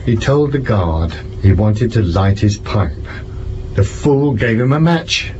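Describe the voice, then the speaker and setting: An actor playing a gruff, sceptical and commanding French police commissioner in an audio drama. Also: male voice, fairly low pitch, animated, slow pace, dark tone. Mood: weary, gruff. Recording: dull sound over noisy ambience.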